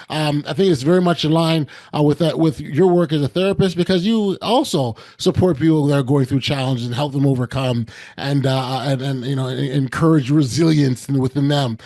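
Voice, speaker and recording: Fairly high-voiced male podcast host. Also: a little mellow. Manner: polished when reading, halting with many fillers when unscripted. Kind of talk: scripted intro then conversational hosting.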